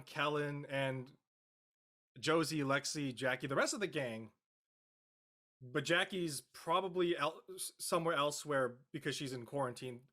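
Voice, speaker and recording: A man talking off the cuff as an actor in an interview, halting and searching for words. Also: on the high side, fairly bright tone. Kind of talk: unscripted interview talk.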